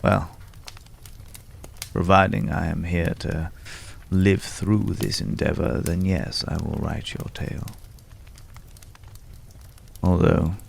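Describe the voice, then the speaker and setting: ASMR fantasy roleplay: man as courtly, world-weary old man, heavy vocal fry, unhurried and intimate, long pauses, faint background noise. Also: low-pitched, fairly even intonation, exaggerated voice. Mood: courtly, tender. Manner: measured, archaic, formal phrasing with long pauses. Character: world-weary old male companion of a vampire hunter, courtly, wistful.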